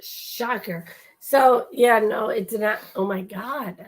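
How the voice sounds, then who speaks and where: A woman co-hosting a casual podcast chat, talking quickly with laughing asides while she is losing her voice. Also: fairly high pitch, poor audio. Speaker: podcast co-host.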